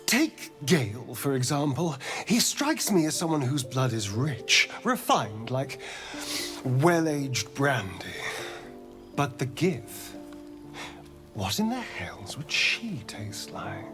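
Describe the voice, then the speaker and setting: Sly vampire, vain, flirtatious, unhurried, widely swooping pitch, video game drama. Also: male voice, big pitch swings, over music. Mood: relishing, flirtatious. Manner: theatrical, flirtatious, arch, drawn-out delivery. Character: sly, vain male vampire, centuries old, hungry for power.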